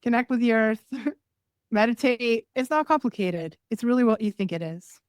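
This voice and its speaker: A woman's voice: a little high, moderate pace, dry recording, clean studio sound. A woman from New England, a podcast guest and healer, giving advice in short listed phrases with occasional laughs. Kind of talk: casual interview chat.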